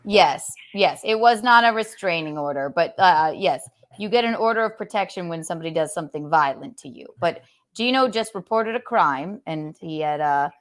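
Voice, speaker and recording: A woman's voice: fairly low pitch, lively intonation, normal speed, few pauses. A woman in her thirties hosting a casual call-in podcast, teasing and affectionate with callers, a little tired. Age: 30s. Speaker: podcast host. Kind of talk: casual call-in show chat.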